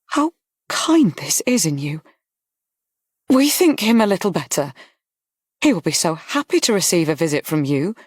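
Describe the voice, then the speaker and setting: A narrator voicing a genteel, kind-hearted lady in an audiobook, speaking politely and gently. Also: medium pitch, animated, measured pace, pauses often, slightly rough, dry recording, clean studio sound, heavily acted. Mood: moved, subdued. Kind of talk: audiobook character dialogue.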